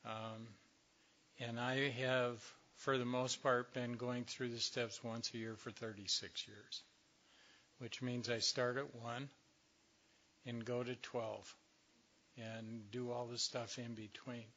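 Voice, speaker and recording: An older man, a little hoarse, giving a slow, reflective talk to a recovery meeting with many pauses. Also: mid-range voice, level tone, pushed and bright. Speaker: speaker at a recovery workshop.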